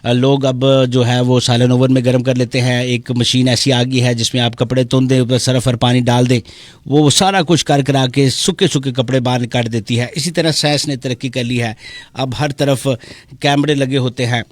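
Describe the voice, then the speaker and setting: A man's voice: mid-range voice, fairly even intonation, dry recording. A male radio call-in host with a Pakistani Punjabi accent, bantering playfully and mock-seriously, teasing listeners and mixing languages. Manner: switches between languages mid-talk. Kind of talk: playful live radio banter with comic monologue. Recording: loud music behind the voice. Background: music.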